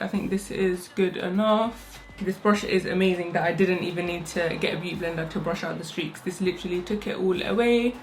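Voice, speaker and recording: A young woman talks quickly and casually as she does her makeup at home. Her voice still sounds sleepy. Faint music plays in the background. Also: medium pitch, a bit bright. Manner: fast, chatty, rushed talk with fillers. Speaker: makeup vlogger. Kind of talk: casual get-ready-with-me makeup tutorial. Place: home, indoors. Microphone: own microphone.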